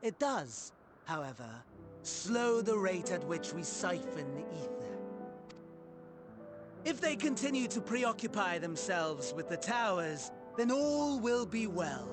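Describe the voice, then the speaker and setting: A man's voice: high-pitched, sing-song, slow pace, loud music behind the voice, full character voice. A man playing a courteous, mocking villainous schemer with ornate, theatrical delivery in a video game cutscene. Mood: measured, ominous.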